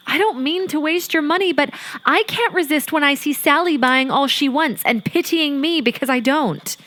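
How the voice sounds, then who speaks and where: A woman playing a gentle, discontented young wife in a period audio drama, speaking softly and earnestly, as if confessing. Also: high-pitched, moderate pace. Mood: defensive, upset. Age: young adult.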